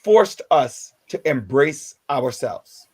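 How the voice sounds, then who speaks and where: Jamaican man in his 50s, radio host, mid-pitched, many restarts. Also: animated, a little mellow, husky. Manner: halting, many restarts and filler words. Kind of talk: casual radio talk.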